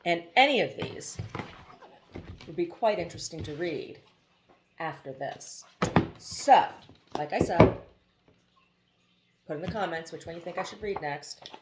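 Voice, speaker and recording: A woman reads a storybook aloud in a lower-pitched voice. Her narration is expressive, in the manner of an amateur audiobook reader with a casual touch. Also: measured pace, long pauses, background noise.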